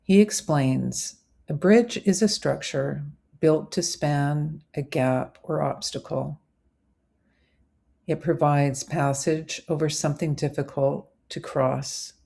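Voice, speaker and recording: A woman narrating a scripted guided visualization as a meditation host, in measured, deliberate phrases. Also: low voice, vocal fry, dark tone.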